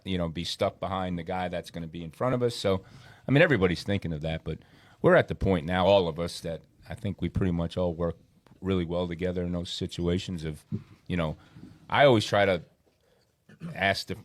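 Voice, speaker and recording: Male sports podcast panelist, very deep, plain-spoken and casual, recorded close and dry. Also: no room sound. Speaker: podcast panelist, racing team crew member.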